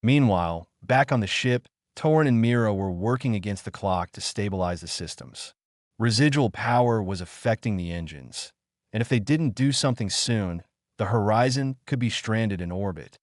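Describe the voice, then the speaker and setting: A man's voice: fairly low pitch, animated, moderate pace, no room sound, studio quality. A man reading a scripted science fiction story as a dramatic narrator.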